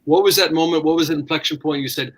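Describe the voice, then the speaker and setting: Male moderator for a web panel, slightly high pitch, chatty, stops and starts. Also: fast. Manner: friendly, asks questions with repeated restarts. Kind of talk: hosting an online panel.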